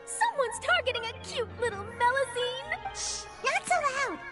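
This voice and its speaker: Casting: gossipy young female reporter, game dialogue, very high and animated. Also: normal speed. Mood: excited. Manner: chatty, theatrical. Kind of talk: video game character dialogue. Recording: game music behind the voice.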